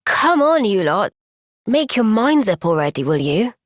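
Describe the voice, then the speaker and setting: An actress playing an earnest, gentle but firm young woman fighter in a video game, speaking in a measured, sincere way. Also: medium pitch, big pitch swings, no echo, clean studio sound. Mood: exasperated. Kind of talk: video game cutscene voice acting.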